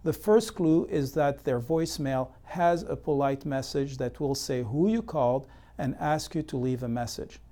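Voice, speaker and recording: A man delivering scripted instructional narration as an educational presenter, in a measured, methodical, explanatory way. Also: medium pitch, mellow. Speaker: presenter of real estate consumer education.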